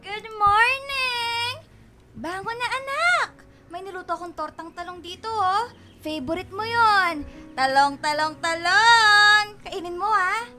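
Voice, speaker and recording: A woman's voice: very high-pitched, slow pace, music in the background. A woman playing a bubbly, fussy, doting middle-aged Filipino mother in a radio drama, exclamatory and nagging. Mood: cheerful, hyper.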